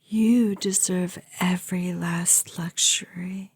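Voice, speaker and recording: A woman voicing an obsessive, devoted vampire girlfriend in an intimate ASMR roleplay, breathy and often whispering, savoring her words with dramatic adoration. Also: on the low side, fairly even intonation, no room sound, full character voice. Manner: slow, savoring, adoring, dramatic.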